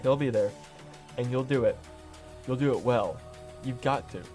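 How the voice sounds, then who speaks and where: An actor playing a resolute, commanding friend in a radio play, speaking in an urgent, firm, instructing way. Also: male voice, medium pitch, normal speed, a little mellow, faint music behind, lightly acted. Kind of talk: radio drama acting.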